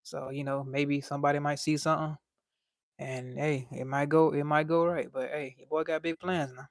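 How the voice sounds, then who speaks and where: A man's voice: on the high side, little pitch movement, normal speed, dry recording, clean studio sound. A young man with a light voice, chatting casually on a livestream and reading out chat in a laid-back, slangy way.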